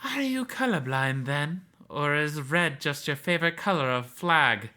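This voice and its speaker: Man acting a male anthro hyena, sarcastic, incredulous, raised pitch, bright forceful tone, visual novel. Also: expressive, measured pace. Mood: mocking. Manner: mocking, sarcastic, exclamatory.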